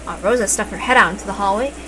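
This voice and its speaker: A woman narrating a visual novel aloud in an expressive storytelling style. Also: mid-range voice, rapid-fire, noisy background. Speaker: reader, narrator.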